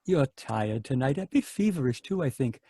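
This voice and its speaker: A soothing, firm asylum doctor voiced by a man, with a slightly husky voice that rises and falls a lot. Close, dry radio drama sound. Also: medium pitch, lively intonation, moderate pace, warm tone, no echo. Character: calm, patient male asylum doctor. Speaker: asylum superintendent.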